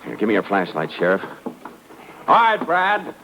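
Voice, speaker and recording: An actor playing a firm, steady Texas Ranger on a manhunt in a 1950s radio drama, terse and commanding. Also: male voice, lowish voice, moderate pace, a little hiss or hum, heavily acted. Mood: urgent, focused. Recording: muffled old 1950s radio recording.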